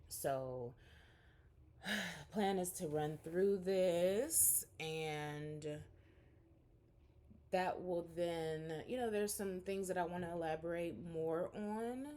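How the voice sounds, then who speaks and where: A woman's voice: sits low, darkish tone. A woman in her forties recording a casual, rambling catch-up vlog at home, talking candidly to her viewers. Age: 40s. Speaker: vlogger.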